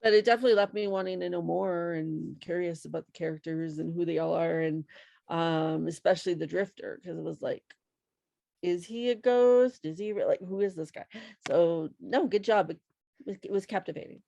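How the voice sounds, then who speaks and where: Woman, slightly low creaky voice, casual critique, hesitant, thinking aloud, dry sound. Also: moderate pace, warm tone, dry recording. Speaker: volunteer reader giving feedback. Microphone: video call.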